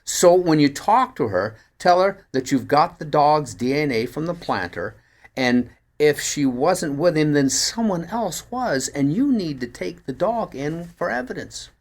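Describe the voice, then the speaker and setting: A man's voice: fairly high pitch, expressive, a little slow, pitched above the performer's own voice. A man voicing an eager, persuasive amateur sleuth in the dialogue of a first-person story reading.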